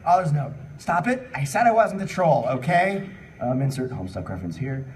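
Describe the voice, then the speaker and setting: A man's voice: on the high side, sing-song, fairly quick, slight background noise. A man reading fan fiction aloud as host of a live comedy panel, breaking off often to riff and exclaim. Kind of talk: comic live reading with asides. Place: convention panel room with audience. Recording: distant mic in a crowded panel room.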